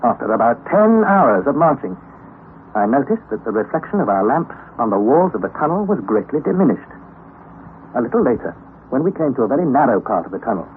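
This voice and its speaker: Anxious young explorer, earnest dramatic narration, wide expressive pitch, noisy vintage radio play. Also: male voice. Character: anxious young nephew narrating a perilous expedition. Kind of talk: old-time radio drama narration and acting. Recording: tinny, noisy old radio recording.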